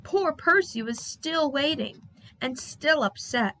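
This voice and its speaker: A woman reading a children's storybook aloud as an expressive narrator. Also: sits high, takes it slowly, poor audio. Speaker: storybook reader.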